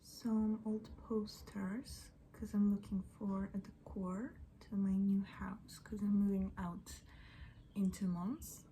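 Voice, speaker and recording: A young woman who is not a native English speaker, chatting in a soft, often half-whispered, rambling way as she does her makeup at home in front of a mirror. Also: medium pitch, little pitch movement, slow pace, slight background noise, poor audio. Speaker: beauty and book vlogger. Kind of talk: get-ready-with-me chat.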